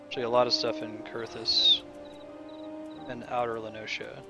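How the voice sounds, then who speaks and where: A man's voice: medium pitch, little pitch movement, moderate pace, loud music behind the voice. A man chatting casually as he plays a video game with friends. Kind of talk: casual gaming chat.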